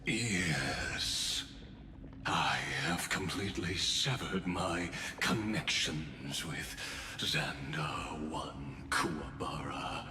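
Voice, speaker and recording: An actor playing a calm, theatrical scheming scholar who speaks in a slow, measured, whispery way. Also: male voice, rumbling low, reverberant, background noise, heavily acted. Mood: grand, theatrical. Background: game music.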